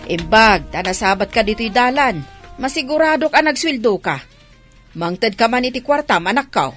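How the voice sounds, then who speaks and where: A woman's voice: on the high side, lively intonation, moderate pace, a bit bright, soft background music. A woman playing a stubborn, money-demanding older mother in an Ilocano radio drama, scolding with an Ilocano accent.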